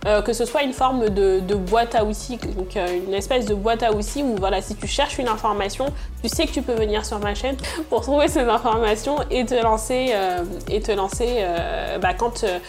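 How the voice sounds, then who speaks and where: A woman's voice: mid-range voice, normal speed, few pauses, a little mellow, faint music behind. A young woman from Senegal who grew up in France, speaking as an entrepreneur answering interview questions hesitantly, with many fillers and restarts. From Senegal, born in Congo, raised in France. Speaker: entrepreneur and channel creator.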